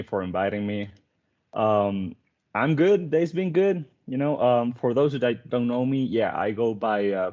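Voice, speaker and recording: A man's voice: on the low side, darkish tone. Earnest young man, hesitant. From Venezuela. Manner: earnest, hesitant, many ums. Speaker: guest writer. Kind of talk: casual chat. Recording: dull lo-fi sound.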